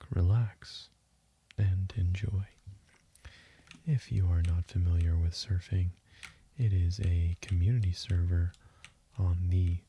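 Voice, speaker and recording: Low, dark-voiced man, slow and hushed. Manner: hushed and breathy, drifting into whisper; calm, deliberate delivery. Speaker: gaming video host. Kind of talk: slow, soothing sleep-meditation game commentary. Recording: faint hiss with keyboard and mouse clicks. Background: keyboard typing and mouse clicking.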